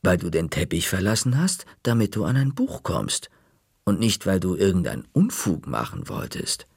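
A stern, bony old librarian woman speaks in a curt, dry manner with a faint edge of menace, put on by a male reader. Her voice sits low and gravelly with a husky grain. It is recorded close and dry like a storybook reading. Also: big pitch swings, moderate pace, dry recording, exaggerated voice.

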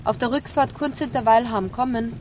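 An actress playing a blunt, matter-of-fact police officer. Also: female voice, a little high, normal speed, background noise, close to an everyday voice. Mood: businesslike.

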